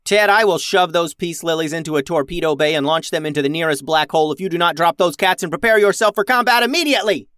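A man voicing a bossy, impatient ten-year-old girl starship captain who shouts commands in a children's story. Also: a little high, quick pace, hardly any pauses, smooth, no echo, studio quality, pitched above the performer's own voice, close to an everyday voice. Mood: angry, ordering.

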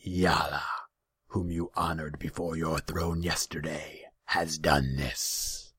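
A man voicing a sly, mocking feline demon with a slow, rumbling growl and breathy whisper. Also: little pitch movement, a bit bright, no echo, heavily acted. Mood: sly, menacing. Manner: slow, mock-deferential.

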